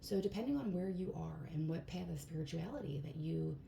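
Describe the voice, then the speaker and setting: A woman with a hoarse voice giving a casual tarot card reading in a relaxed, chatty way, with plenty of fillers. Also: low-pitched, fairly quick. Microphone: phone. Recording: echoey room, faint background hiss.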